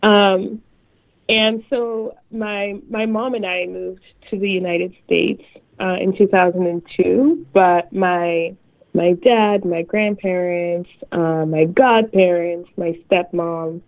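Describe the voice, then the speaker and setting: A woman from France, French her first language, speaking as a guest writer in an interview, thoughtful and measured. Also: lowish voice, steady tone, dry recording. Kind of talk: interview over a call. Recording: tinny phone-like line.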